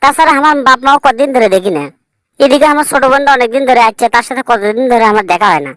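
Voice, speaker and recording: A quarrelsome young village wife from a comedy cartoon , acted by a woman, with a northern Bangladeshi accent. She rattles off complaints insistently in a fairly high voice, stubborn and scolding, on a dry, close recording. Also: rapid-fire, a bit bright, no echo, lightly acted. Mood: nagging, complaining. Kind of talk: comic cartoon dialogue.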